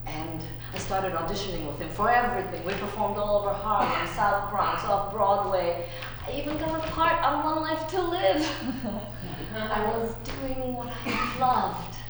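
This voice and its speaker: A woman from Czechoslovakia performing a theatrical solo monologue live on stage, expressive, laughing and pausing dramatically. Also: medium pitch. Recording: echoey stage from far off, noisy.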